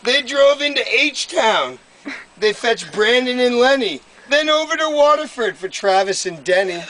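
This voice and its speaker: Man, high voice, unhurried storybook delivery of humorous rhyming verse. Amateur live recording with faint noise. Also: lively intonation, sharp tone, poor audio. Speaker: poem reader. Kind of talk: reading a comic rhyming poem aloud to friends.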